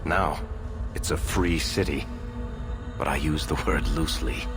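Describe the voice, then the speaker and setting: A man's voice: a bass voice, measured pace, over music. A man playing a wry, theatrical storyteller under interrogation in a fantasy game cutscene. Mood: dramatic narrating. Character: smooth, sardonic male storyteller under interrogation. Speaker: storyteller narrator.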